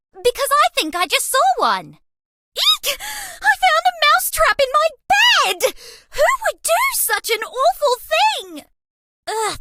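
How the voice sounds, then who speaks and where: Girlish alien mouse, video game character, coy, playful, dramatic, very animated, slow-paced. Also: extremely high pitch, no room sound, studio quality, exaggerated voice. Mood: catty, then alarmed, indignant. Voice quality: cutesy, girlish.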